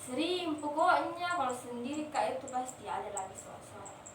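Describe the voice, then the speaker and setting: A woman in her twenties, a nursing student acting as a frightened patient in a class role-play, answering in short halting replies. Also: sits high, a bit bright. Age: 20s. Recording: roomy, distant, faint noise.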